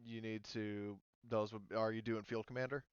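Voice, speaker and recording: A man running a tabletop roleplaying game, talking casually through the rules and thinking aloud in a halting way. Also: fairly low pitch, little pitch movement, clean tone, no room sound, lo-fi sound. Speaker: game master.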